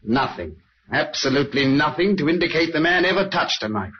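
A man's voice: on the high side, lively intonation, a little fast, slightly rough. An actor playing a dutiful, eager police sergeant in a 1950s radio drama, a man's voice. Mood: businesslike. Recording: dull old radio recording.

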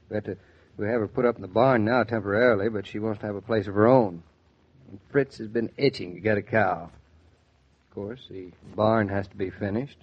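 A dulled vintage broadcast carries a young husband and father. He is wry and proud, with a lowish voice and the delivery of 1940s radio drama. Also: husky, highs cut off.

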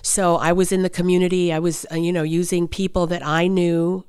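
Picture a woman with a slightly low voice telling backstage stories from her acting career. She talks animatedly and laughs often, answering an interviewer at length. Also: a little fast, clean tone. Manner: animated anecdotes, frequent laughter. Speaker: actress guest. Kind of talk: interview storytelling.

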